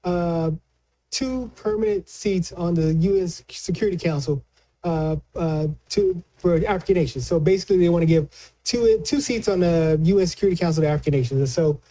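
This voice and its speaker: A man giving conversational political commentary as a news analyst, colloquial and halting, often repeating words as he explains. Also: sits high, dark tone, poor audio.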